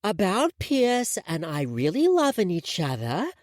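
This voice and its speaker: A man's voice: sits high, huge pitch swings, a little slow, no room sound, studio quality, pitched above the performer's own voice, heavily acted. A man voicing a spoiled young woman who talks in a put-on childlike voice. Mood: childish.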